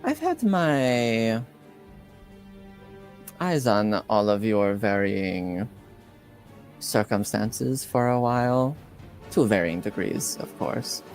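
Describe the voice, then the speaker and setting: A man's voice: lowish voice, big pitch swings, darkish tone, soft background music, heavily acted. A man voicing a poised, well-informed middle-aged noblewoman in a tabletop game, speaking formally with cool condescension. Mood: cool, knowing. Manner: formal, measured, coolly condescending.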